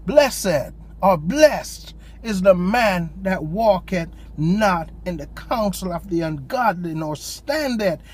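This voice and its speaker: A lay preacher with a strong Jamaican accent gives an informal devotional talk from a parked car, pausing and restarting often and lifting the voice when reciting scripture. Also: mid-range voice, expressive, husky. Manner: halting, with frequent pauses and restarts.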